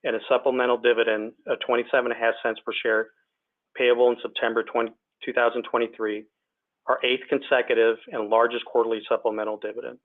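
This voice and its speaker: Guy reading out quarterly results, pretty flat and formal, sounds like a phone line. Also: medium pitch, fast talker. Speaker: company finance executive presenting quarterly results. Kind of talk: scripted earnings presentation. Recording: tinny narrow phone line.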